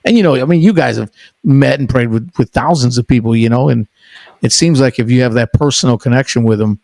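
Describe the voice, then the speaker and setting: A man's voice: mid-range voice, brisk, creaky, darkish tone, no echo. A man in his sixties hosting a podcast, chatting with a guest in a chummy, eager way. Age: 60s. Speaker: podcast host. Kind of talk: casual interview chat.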